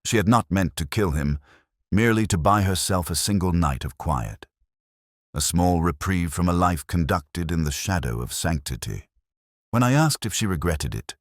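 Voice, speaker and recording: Very deep, weary detective played by a man. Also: expressive, no echo, studio quality. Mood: melancholy, reflective. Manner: solemn, wistful, measured. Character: weary, melancholy, reflective male English police detective. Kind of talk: first-person literary mystery narration.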